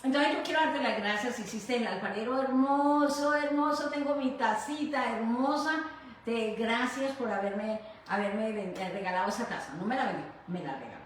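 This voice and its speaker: A woman's voice: a little high, normal speed. Echoey, distant Colombian woman hosting a show. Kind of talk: casual conversational hosting. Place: echoey room in her home. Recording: very echoey room, distant mic, faint noise.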